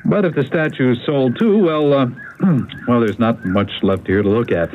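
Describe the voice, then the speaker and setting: An actor playing an eager, confident husband hunting treasure in a 1970s radio mystery. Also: male voice, medium pitch, unhurried, lightly acted. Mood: hesitant, bluffing. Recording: tinny, noisy old AM radio broadcast.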